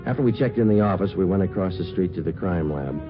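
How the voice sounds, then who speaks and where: Male detective sergeant for 1950s radio drama: deep, terse, matter-of-fact, procedural; old muffled recording. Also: fast, over music. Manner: clipped, matter-of-fact, deadpan. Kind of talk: 1950s radio drama acting with scripted first-person narration.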